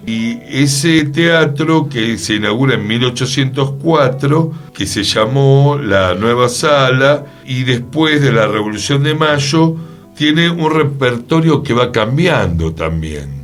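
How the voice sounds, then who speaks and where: A man's voice: medium pitch, animated, slow, faint music behind. A man with a Buenos Aires Argentine accent hosting a radio talk, explaining things in a reflective, conversational way. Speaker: radio show host. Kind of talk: conversational talk on theatre history. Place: radio programme, guitar music playing behind the voice.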